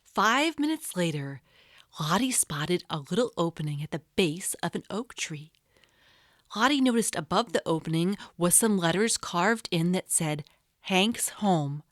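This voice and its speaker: A woman's voice: fairly low pitch, lively intonation, normal speed, no room sound, studio quality. A woman narrating a storybook for young children as a storyteller.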